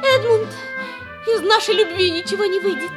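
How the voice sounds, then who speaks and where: A giddy, sentimental young noblewoman in an old radio comedy. Her voice sits very high, and she talks in breathless gasps. Faint music runs beneath her lines. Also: normal speed. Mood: breathless romantic. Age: young adult. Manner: breathless, gasping, giggly. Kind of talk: 1960s radio theatre acting.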